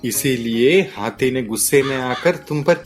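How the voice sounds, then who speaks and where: Slow, gentle shopkeeper father, acted out. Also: medium pitch, faint music behind, lightly acted. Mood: gently scolding. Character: kindly simple village shopkeeper, a gentle father. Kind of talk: children's cartoon story dialogue.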